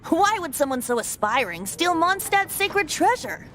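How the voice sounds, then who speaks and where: A woman's voice: extremely high pitch, big pitch swings, moderate pace, a bit bright, slight background noise, heavily acted. A woman voicing a cheeky, youthful male bard in a video game, breezy and teasing with a theatrical way of talking. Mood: sly, playful. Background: background music.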